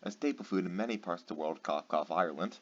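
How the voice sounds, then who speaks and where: Tired guy w a lowish voice reading stuff out, trips on words, swears, sarcastic, kinda muffled lo-fi mic. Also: quick pace. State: tired late at night. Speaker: channel host. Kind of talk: comedic reading aloud. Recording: dull lo-fi with faint noise.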